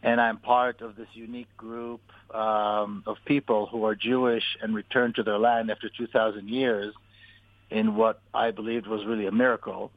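Low-voiced man on a tinny phone line. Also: little pitch movement, moderate pace, no room sound. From Israel. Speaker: interview guest, author and activist. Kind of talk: radio interview, personal account and political argument.

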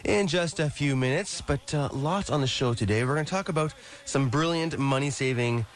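A man hosting a casual radio real estate show in studio, friendly and halting, a bit hoarse from losing his voice. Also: a little high. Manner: friendly, many fillers and restarts.

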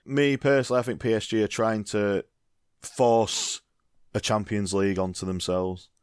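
A man's voice: lowish voice, steady tone, normal speed, darkish tone, smooth, no echo, studio quality. A man co-hosting a casual football chat, rambling and leaning on "you know, I mean" as he goes. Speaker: football podcast co-host.

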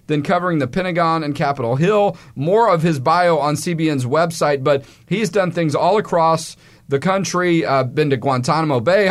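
A man hosting a studio talk show, speaking in a friendly, chatty, folksy way into a studio mic. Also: on the high side. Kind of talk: casual studio interview. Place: radio studio.

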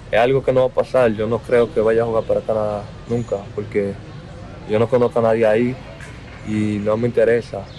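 A young man in his twenties with a Dominican accent, speaking in an interview in a slow, halting way that repeats words. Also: lowish voice, a little mellow, poor audio. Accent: Dominican Spanish. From Dominican Republic. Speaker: basketball player interviewed. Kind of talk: outdoor interview.

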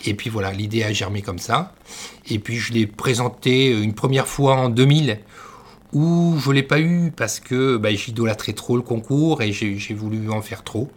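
A middle-aged man from northern France, a master baker, telling his career story in an interview with many pauses and restarts. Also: medium pitch. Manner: hesitant, many fillers and restarts. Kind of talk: conversational interview.

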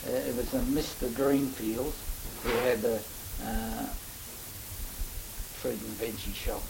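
An older Australian man, in his seventies or beyond, reminiscing in a halting, rambling way for an oral history interview. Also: medium pitch, steady tone, gravelly. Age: older, 70s or above. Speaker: interviewee recalling local history. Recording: faint hiss, amateur interview recording.

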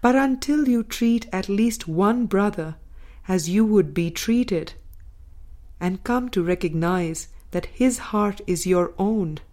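A woman reading the words of God as a calm, loving, wise teacher in a parable, solemn and tender. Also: medium pitch, takes it slowly.

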